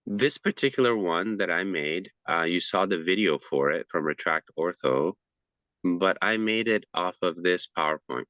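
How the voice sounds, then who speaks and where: A man's voice: deep voice, expressive, moderate pace, sharp tone, dry recording, highs cut off. A man giving a casual presentation over a video call, chatty and loose, with frequent fillers and restarts.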